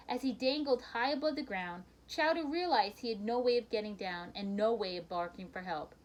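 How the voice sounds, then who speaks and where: A woman reading a children's picture book aloud in an expressive storytelling manner, with brief asides to her listeners. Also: a little high, poor audio.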